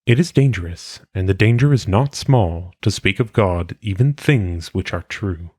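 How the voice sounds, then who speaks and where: A man reading philosophical maxims aloud in a solemn audiobook style, with measured, deliberate phrasing. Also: sits low, lively intonation, a little mellow, breathy edge, dry recording, clean studio sound. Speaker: reader.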